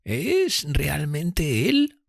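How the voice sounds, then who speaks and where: A man voicing a frail, remorseful elderly gentlewoman in an audiobook, speaking slowly in a hesitant, timid murmur. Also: high-pitched, big pitch swings, gravelly, dry recording, clean studio sound, voice pushed up, heavily acted. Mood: timid, pleading. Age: older. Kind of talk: audiobook character reading.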